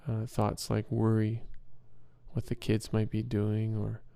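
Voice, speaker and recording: A man leading a guided meditation as an instructor, speaking in a soothing, measured and gentle way with pauses. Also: lowish voice, completely flat delivery, gravelly, no echo.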